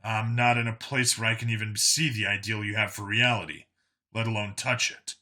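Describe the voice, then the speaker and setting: Man as a blunt, sharp-voiced hardened cop. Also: fairly low pitch, a little fast. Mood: grim, resigned. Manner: flat, blunt, deadpan. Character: hardened, blunt human lawman, grimly sardonic.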